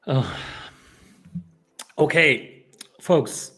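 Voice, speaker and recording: A man's voice: a little high, drawn-out words, pushed and bright, slightly rough. A man from Poland hosting a developer live stream, chatting casually with lots of fillers and restarts. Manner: rambling, many fillers and restarts.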